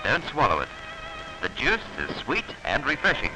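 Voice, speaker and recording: A man narrating a 1940s instructional film in a formal, instructive manner with measured diction, on an old film soundtrack. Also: medium pitch, huge pitch swings, over loud music, poor audio.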